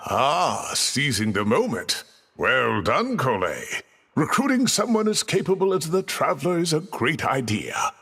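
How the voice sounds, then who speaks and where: A man's voice: on the high side, big pitch swings, measured pace, pushed and bright, slightly rough, in a large hall, exaggerated voice. A man voicing a jovial, talkative middle-aged guild leader with hearty, playful boasting in a game dub.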